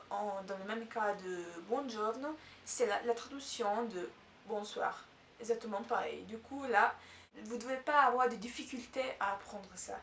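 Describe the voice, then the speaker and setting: A woman's voice: fairly high pitch, slight background noise. A woman from Italy whose first language is Italian, teaching in French with an Italian accent. She talks casually and hesitantly, with many filler sounds and restarts. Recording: echoey room, mic at a distance.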